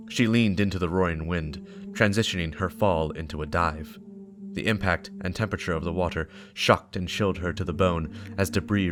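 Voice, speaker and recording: A man giving a dramatic scripted narration of a story. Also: low voice. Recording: music behind the voice. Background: game soundtrack music.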